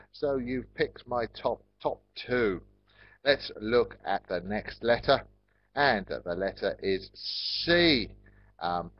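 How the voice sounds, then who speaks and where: An English man with a low voice teaches an online class at a very slow pace. He is polite and encouraging, addressing learners in simple, measured phrases, and the lo-fi call audio is very dry. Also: no echo. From England.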